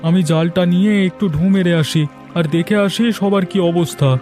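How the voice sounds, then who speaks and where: A man's voice: high voice, fairly even intonation, a little fast, darkish tone, soft background music, close to an everyday voice. A man voicing a reassuring, confident and resourceful village husband in a cartoon story. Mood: upbeat.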